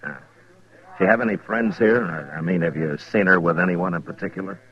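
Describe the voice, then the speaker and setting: An actor playing a weary, level-headed American frontier marshal, terse and dry, in an old-time radio western. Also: male voice, sits low, fairly quick. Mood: guarded. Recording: dull vintage radio recording.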